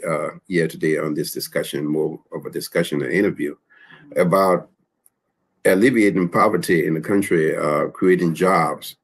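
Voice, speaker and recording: A man from Liberia, speaking as a panelist and commenting in a discussion with many halting restarts. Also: low-pitched, gravelly, slightly rough.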